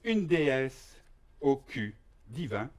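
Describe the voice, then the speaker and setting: Slow, deliberate male stage comedian. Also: medium pitch, very animated. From Belgium. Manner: mock-serious, deliberate delivery with pauses for effect. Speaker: stand-up performer. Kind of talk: live comic stage monologue.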